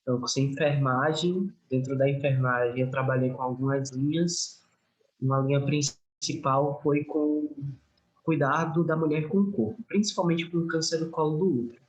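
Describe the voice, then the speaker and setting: A man's voice: fairly high pitch, fairly even intonation, normal speed, low-quality recording. A young man with a Northeastern Brazilian accent, giving an informal health talk to a group as a guest speaker. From Alagoas, northeastern Brazil. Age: young adult.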